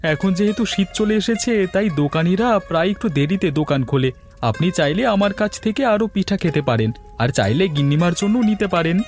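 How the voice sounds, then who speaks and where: Casting: male actor as obliging village food seller in a cartoon tale, fairly high pitch, polite, music behind. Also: lively intonation, a little fast, a little mellow. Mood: obliging, cheerful. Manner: polite, eager to please. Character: village pitha-cake seller, obliging, eager, honest man. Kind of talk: Bengali cartoon fairy-tale voice acting. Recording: music under the voice.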